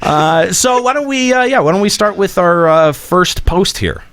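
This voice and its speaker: A man hosting a radio show with casual banter and rambling, halting phrasing. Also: high-pitched, animated, a bit bright. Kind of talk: casual banter between hosts.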